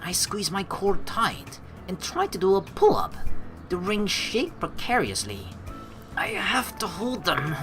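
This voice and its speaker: A woman doing a playful let's play, reading game narration aloud and adding teasing, jokey asides. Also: fairly low pitch. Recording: music behind the voice. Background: game music.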